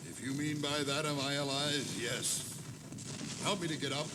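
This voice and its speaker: Man acting a proud, highish-voiced army general. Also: steady tone, normal speed, close to an everyday voice. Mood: pained, testy. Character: proud, stubborn Union corps general, testy under rebuke. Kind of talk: dramatized history audio tour. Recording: noisy old cassette tape dramatization.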